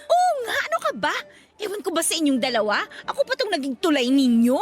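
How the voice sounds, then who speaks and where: High, swooping, brash Filipina gossip. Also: female voice, wildly swooping pitch, quick pace, soft background music. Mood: playful. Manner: sassy, exclamatory, gossipy. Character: brash, teasing young woman vendor, rival turned matchmaker. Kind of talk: Filipino radio drama dialogue.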